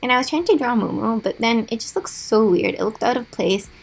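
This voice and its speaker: A young woman's raspy, slightly high voice with a gravelly edge. She chats in a casual, rambling way and pokes fun at herself, peppering her talk with fillers like "like". It is a relaxed gaming vlogger voice-over. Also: fairly quick, poor audio. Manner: chatty, self-deprecating, lots of "like" fillers. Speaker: gaming YouTuber. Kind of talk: casual voice-over of a drawing timelapse.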